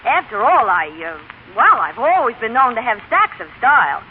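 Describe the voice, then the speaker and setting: An actress playing a restless, brassy young working-class wife who pleads and argues in slang, in 1930s radio drama style. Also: female voice, on the high side, sing-song, moderate pace. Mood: defiant. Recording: noisy, tinny 1930s radio broadcast.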